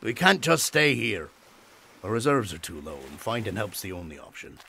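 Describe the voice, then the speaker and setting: An actor playing a gallant, reassuring ship's captain in a period audio drama, courteous and playfully affectionate. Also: male voice, on the low side, very animated, moderate pace, creaky, pushed and bright, a little hiss or hum, exaggerated voice. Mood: firm, reassuring.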